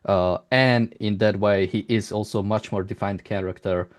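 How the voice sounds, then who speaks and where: A man conducting a casual interview, asking halting, rambling questions with many hesitations. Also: fairly low pitch, steady tone.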